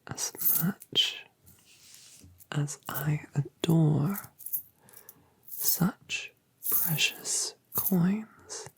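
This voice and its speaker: A woman voicing a colossal, doting mother dragoness, whispering breathily and cooing gentle questions in an ASMR roleplay. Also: low-pitched, extremely slow, creaky, pauses often, breathy edge, dry recording, heavily acted, rough recording. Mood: tender.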